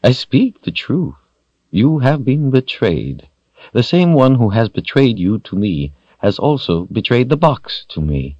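A man voicing a smug, villainous sorcerer in an audiobook, taunting and deliberate, with theatrical mock courtesy. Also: mid-range voice, very animated, darkish tone, dry recording, dull audio, voice pushed up. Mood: smug menace. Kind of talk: audiobook character voice.

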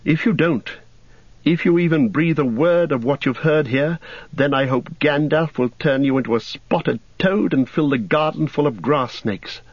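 A man voicing a gentle, earnest young hobbit. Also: fairly high pitch, normal speed, no echo, dull audio, voice pushed up, natural voice. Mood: firm kindly.

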